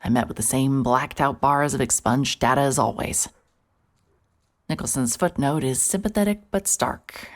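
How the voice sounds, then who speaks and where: An actress playing a hard-bitten, wary private investigator, terse and sardonic, in a horror audio drama with noir-style narration. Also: female voice, a bass voice, normal speed. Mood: tense, absorbed. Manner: muttering to self, wry, terse. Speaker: lead character and narrator.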